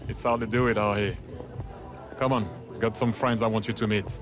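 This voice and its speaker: A man's voice: on the low side, fairly even intonation, moderate pace, close to an everyday voice. An actor playing a welcoming, easygoing man chatting casually in a video game cutscene. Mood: friendly. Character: welcoming, easygoing male host at a party. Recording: music behind.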